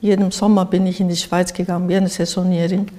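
An older woman from Japan with a Japanese accent, giving reflective interview answers haltingly as she searches for words. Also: on the low side, little pitch movement, mellow. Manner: halting, searching for words in non-native phrasing. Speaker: interviewed artist. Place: art studio in Berlin, indoors. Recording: reverberant, echoey room.

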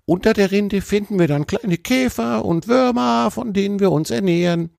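A man voicing a cheerful, chatty little male woodpecker for a children's storybook, in a creaky, squeezed voice with bubbly, colloquial delivery. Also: high-pitched, normal speed, darkish tone, no room sound, clean studio sound, voice pushed up. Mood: cheerful, explaining.

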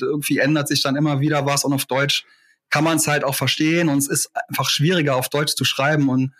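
A man's voice: fairly high pitch, fairly bright tone. A man, a musician, chatting loosely in an interview, rambling with many fillers and restarts. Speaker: musician guest. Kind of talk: casual interview chat.

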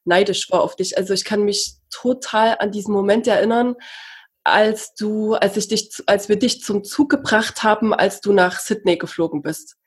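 A woman from Saxony-Anhalt, Germany, hosting a casual conversation and talking in a warm, admiring, chatty way. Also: mid-range voice, fairly even intonation, moderate pace, breathy edge. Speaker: host.

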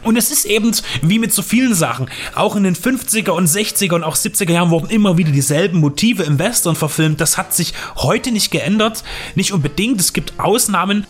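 A man reviews a film for a podcast in a fairly high male voice. Soft music runs behind his commentary. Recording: music under the voice.

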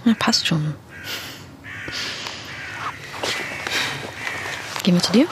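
An actress playing a proud, wary young climate activist in a naturalistic audio drama, talking in a casual, clipped, pointed way. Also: female voice, on the low side, lively intonation, very fast, noisy background, close to an everyday voice. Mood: guarded. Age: young adult. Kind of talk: naturalistic fiction podcast acting.

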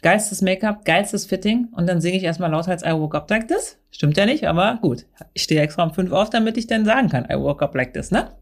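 A woman's voice: animated, a little fast. A woman with a Berlin accent giving a brash, slangy comic monologue to a friend, mixing in English phrases and playing with theatrical swings in her voice. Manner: playful, theatrical pitch swings.

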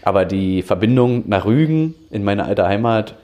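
A man's voice: on the low side, expressive, moderate pace, muffled recording. A man from Berlin in his thirties, an angler talking casually as a podcast guest, upbeat and a bit rambling, laughing often. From Germany, Berlin.

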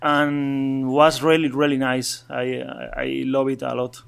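A man from Asturias with a Spanish accent, speaking halting non-native English as an interview guest, with many restarts and light chuckles. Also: medium pitch, monotone, creaky, clean tone. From Spain, Asturias. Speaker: guest, traveling cyclist. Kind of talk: casual remote interview.

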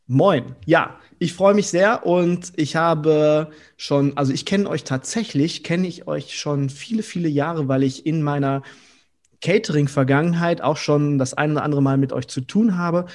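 A man's voice: a little high, moderate pace, darkish tone. A man hosting a sponsored podcast on hospitality, talking in an upbeat, colloquial way. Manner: upbeat, colloquial, uses casual emphasis. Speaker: podcast host.

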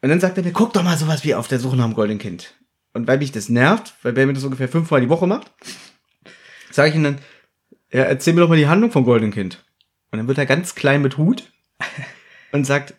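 A man hosting a casual podcast chat, teasing his co-host and telling stories in an animated way. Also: medium pitch, a little fast, long pauses.

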